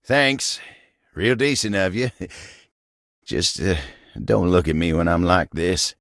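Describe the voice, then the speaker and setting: Game role: earnest, kindly country feller, gravel-voiced, unhurried American Southern delivery, recorded very dry. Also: male voice, low-pitched, lively intonation, fairly bright tone, no echo, full character voice. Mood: shy, grateful. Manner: folksy drawl, humble and earnest. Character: gentle, folksy living table, cowboy-like helper. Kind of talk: video game character voice acting.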